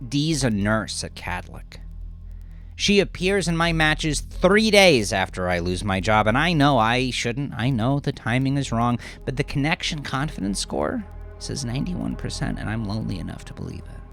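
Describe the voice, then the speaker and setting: A man narrating a horror story in the first person, with a brooding, halting, intense confessional delivery. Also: medium pitch, big pitch swings, creaky, fairly bright tone, faint music behind. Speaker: narrator and author.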